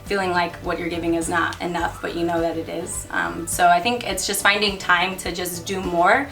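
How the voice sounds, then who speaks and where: A woman's voice: on the low side, steady tone, normal speed, gravelly, fairly bright tone. Young woman, 20s, chatty, upbeat, band member, distant mic, background music. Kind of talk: casual chat.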